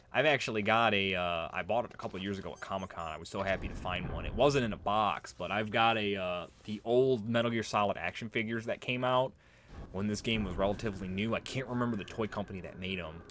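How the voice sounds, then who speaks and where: A man's voice: fairly low pitch, fairly bright tone. A man giving casual, rambling let's play commentary, explaining things and drifting off on tangents. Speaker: let's player, video game commentator. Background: video game music.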